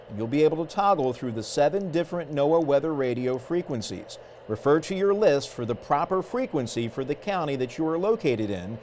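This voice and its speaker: A man's voice: mid-range voice, darkish tone, slight background noise. A man narrating a product tutorial step by step in a methodical, explanatory way. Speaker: instructional presenter.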